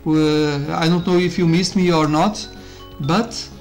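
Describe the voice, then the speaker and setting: A man's voice: on the high side, steady tone, slow, darkish tone. Man rambling over gameplay. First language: Portuguese. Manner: rambling, self-interrupting, with frequent fillers and laughs. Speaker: YouTuber reviewing a game. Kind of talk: casual gameplay commentary. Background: faint game music.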